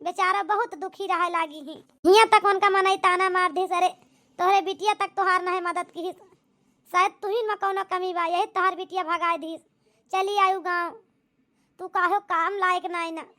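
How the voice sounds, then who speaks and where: A woman's voice: extremely high pitch, fairly even intonation, normal speed, bright, forceful tone. A woman playing a grumbling village wife in an amateur cartoon, with an Awadhi accent and a complaining, gossipy manner. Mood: sorrowful. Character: grumbling village wife and resentful daughter Poonam. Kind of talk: amateur comedy cartoon dialogue in rural dialect.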